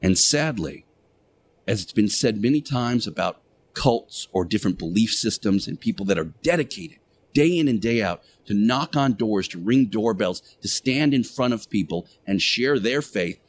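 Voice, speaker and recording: A man's voice: lowish voice, animated, a little hiss or hum. Emphatic man preaching a radio monologue. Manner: emphatic, preachy, deliberate rhetorical repetition. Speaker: radio show host playing Jesus.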